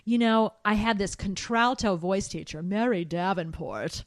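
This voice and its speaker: A middle-aged woman, a trained soprano, recalling her past in an animated way, with fillers and restarts. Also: a little slow, no echo, clean studio sound. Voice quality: high soprano singer. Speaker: guest. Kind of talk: conversational interview.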